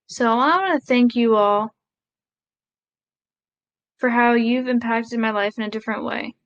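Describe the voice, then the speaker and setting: A woman voicing a live sign language interpretation of a speech, halting, with pauses and self-corrections. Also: fairly high pitch, lo-fi sound.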